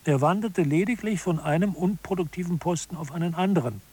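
An actor playing a self-assured hierarchy expert who explains absurdities gravely, in a didactic, mock-scholarly manner for an audio drama. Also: male voice, high voice, brisk, dark tone. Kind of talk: scripted audio drama lecture.

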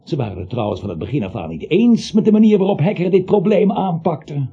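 An actor in a Dutch radio play, playing a garrulous, emphatic man with a gravelly, husky voice who swells on key words. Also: on the high side, sing-song, fairly quick, warm tone, full character voice. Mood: insistent. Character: garrulous, emphatic man who was once clinically dead. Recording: dull, muffled radio play recording.

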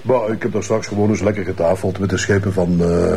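An actor with a Flemish accent playing a teasing, self-satisfied middle-aged police chief, jovial, mocking and bossy. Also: male voice, low voice, fairly even intonation, fairly quick, creaky, dark tone, a little hiss or hum. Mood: smug, bossy. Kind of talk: TV drama acting.

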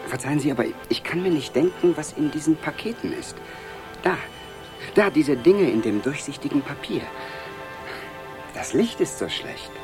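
A man's voice: a little high, lively intonation, normal speed, long pauses, over music. A man playing a timid, polite clerk in a radio drama, hesitant and deferential in his speech. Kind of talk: 1970s German radio drama acting.

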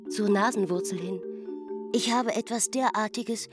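A woman's voice: mid-range voice, measured pace, close to an everyday voice. An actress playing a pensive village woman in a German radio drama, recalling things with deliberation. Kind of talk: German radio play acting. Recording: mallet and singing-bowl music under voice. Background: ringing mallet and bowl music.

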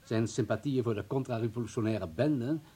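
Actor as a husky, insinuating party informer. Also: male voice, medium pitch, dark tone, lightly acted. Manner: measured, insinuating, polite. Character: insinuating, officious male party informer, coldly polite. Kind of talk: radio play acting.

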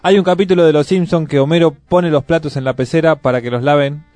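A man's voice: on the high side, steady tone, quick pace, darkish tone, no echo. A man with a Buenos Aires Argentine accent chatting casually as a radio co-host. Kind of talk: casual chat.

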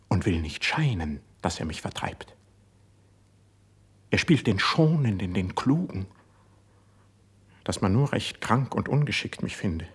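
An actor playing an impassioned, suspicious young court poet in a classical verse radio drama, with a husky, declamatory delivery. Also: male voice, low voice, exaggerated voice. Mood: bitter, suspicious. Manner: declamatory, intense stage delivery.

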